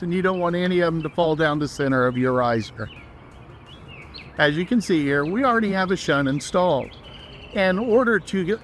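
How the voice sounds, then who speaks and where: A man's voice: fairly high pitch, fairly bright tone, a little hiss or hum. A man giving an instructional walkthrough as a trainer, explaining each step plainly.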